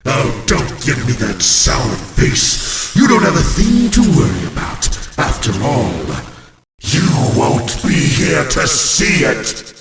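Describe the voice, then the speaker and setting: A man's voice: mid-range voice, big pitch swings, takes it slowly, gravelly, faint music behind, full character voice. A man playing a grandiose, vengeful monster villain in a horror game, pompous and menacing, speaking in archaic, theatrical language. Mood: taunting menace. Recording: echoey reverberant hall, distant, loud noise.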